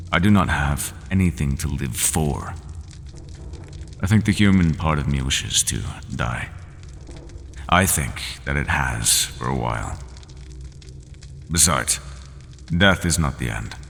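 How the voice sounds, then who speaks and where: A man voicing a resigned, half-human horned monster in an audio roleplay, speaking slowly and formally without contractions. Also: expressive, a bit bright, a little hiss or hum, heavily acted. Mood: solemn, weary. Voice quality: very deep and gravelly. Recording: long hall reverb, faint ambience.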